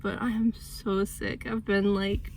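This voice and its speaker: Sick woman with a gravelly voice. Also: medium pitch, flat delivery, unhurried, a little hiss or hum. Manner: rambling, thinking aloud with many likes. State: sick, voice going, congested. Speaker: author vlogging her writing process. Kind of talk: casual vlog chat.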